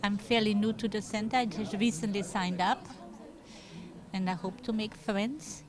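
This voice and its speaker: A woman in her sixties from Germany introduces herself in a friendly way. Her voice is of middling pitch. Clear room chatter runs behind her. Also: steady tone, moderate pace. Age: 60s. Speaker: senior center member. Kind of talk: self-introduction. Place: senior center activity room.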